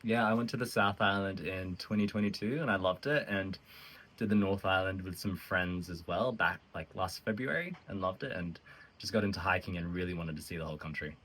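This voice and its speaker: Man, 20s, from Australia, very deep voice, matter-of-fact, run-on telling. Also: brisk, fairly bright tone, slight background noise. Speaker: interviewee hiker.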